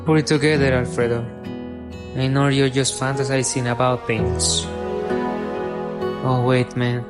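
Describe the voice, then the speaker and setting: A young man playing a lovestruck gentleman who narrates his romantic thoughts in a radio drama. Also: medium pitch, level tone, unhurried, close to an everyday voice. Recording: music behind the voice. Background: plucked guitar music.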